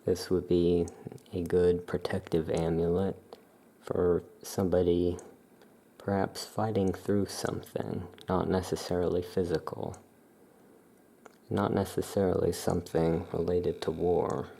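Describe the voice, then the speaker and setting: Soft-spoken ASMR shopkeeper, unhurried and calm, with a mellow, gravelly tone. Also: deep voice. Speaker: witch shop keeper presenting amulets.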